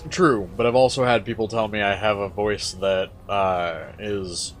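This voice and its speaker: A man casually commenting while playing a game with a friend, with a calm, lecture-like voice and offhand swearing. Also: on the low side, a little slow, slight background noise. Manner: laid-back, musing, swears casually. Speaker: gaming channel host.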